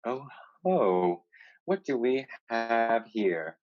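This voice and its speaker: Wry pirate suitor played by an actor, unhurried, halting, calculating; slightly muffled recording. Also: mid-range voice, sing-song. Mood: menacing, amused. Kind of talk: staged play reading. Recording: dull lo-fi sound.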